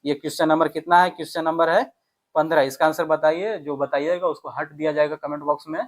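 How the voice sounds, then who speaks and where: A man's voice: a little high, flat delivery, fast. A man teaching exam maths, explaining step by step and often checking that listeners follow. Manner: instructional, repeatedly checks with listeners using short tag questions. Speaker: math teacher. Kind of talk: exam lesson, explaining worked questions to viewers.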